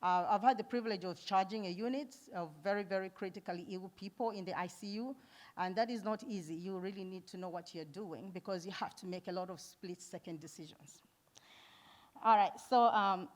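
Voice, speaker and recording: Zambian-born woman giving an earnest talk. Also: mid-range voice. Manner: warm, earnest, laughs often, many fillers. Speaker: nurse and foundation founder speaking at an event. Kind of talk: conference talk. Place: event hall.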